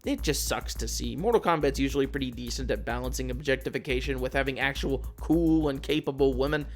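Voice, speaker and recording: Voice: mid-range voice, quick pace, faint music behind. A video essayist reading a scripted film review in a casual, opinionated, sweary way.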